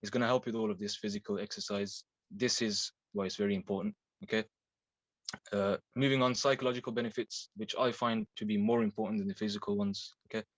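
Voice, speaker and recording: A man's voice: fairly low pitch, steady tone, fast talker, no room sound. A man of twenty-one who grew up in Belgium, a Thai boxing coach giving an explanatory online talk with fillers and self-corrections. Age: 20s.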